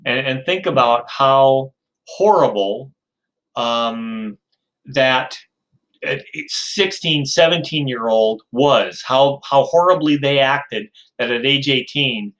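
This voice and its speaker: A man in his fifties talks through a lecture in a relaxed, conversational way. His voice sits at an ordinary middle pitch. He peppers his points with ums and wry jokes about himself. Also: slow, rough recording. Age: 50s. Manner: chatty, self-deprecating asides, frequent ums. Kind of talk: informal recorded lecture.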